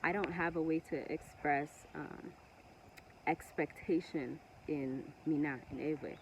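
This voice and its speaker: A woman from Togo whose first language is Ewe, talking earnestly and passionately to camera with many pauses and restarts. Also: sits low, fairly even intonation, noisy background. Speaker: vlogger. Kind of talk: casual monologue to camera.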